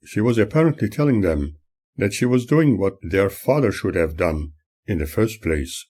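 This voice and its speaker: An older man reads his memoir in a measured, earnest tone. His voice sits low and dark, with a husky grain to it. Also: lively intonation. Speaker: author reading his own memoir. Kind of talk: memoir reading.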